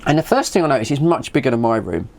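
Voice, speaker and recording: Animated Englishman spinning a casual anecdote. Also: mid-range voice, fast, creaky. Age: 50s. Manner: animated, stammering, acts out dialogue. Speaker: vlog host.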